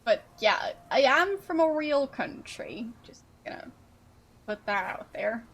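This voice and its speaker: Slow-talking, amused woman streamer. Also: high voice, low-quality recording. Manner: relaxed, amused, answering chat. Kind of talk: casual chat with viewers.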